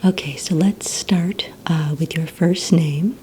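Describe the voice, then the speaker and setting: A woman whispering softly and breathily in an ASMR roleplay, playing a polite receptionist who asks questions in a gentle, hesitant manner. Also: sits low, slow, noisy background. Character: courteous female villain recruitment receptionist.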